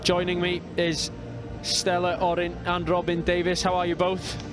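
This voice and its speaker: A man from North Wales with a North Welsh accent, calling a rugby match as live commentator in a measured, steady way. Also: high voice, music in the background. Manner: measured, reads out team lines steadily.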